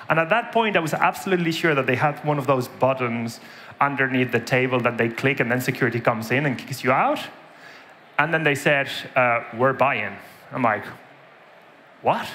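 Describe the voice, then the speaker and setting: A man from Spain with a Spanish accent shaded by Irish English, in his thirties, giving a conference keynote in a lively storytelling manner and often adding "right?" to his points. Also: mid-range voice, slight background noise. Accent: Spanish with Irish influence. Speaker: conference speaker. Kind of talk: keynote talk with anecdotes. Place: large dark conference stage.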